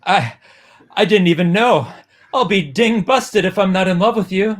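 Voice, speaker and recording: Man playing a highish-voiced breezy young beau. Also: moderate pace, close to an everyday voice. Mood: smitten. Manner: breezy, old-fashioned slangy. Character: young small-town boyfriend Gil, cocky, smitten. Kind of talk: dramatic romance comic reading.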